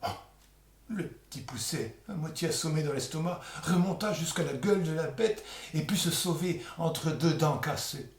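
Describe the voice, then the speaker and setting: A man's voice: on the high side, expressive, normal speed. A man telling a folk tale aloud in a theatrical, colloquial oral storytelling style. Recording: echoey room.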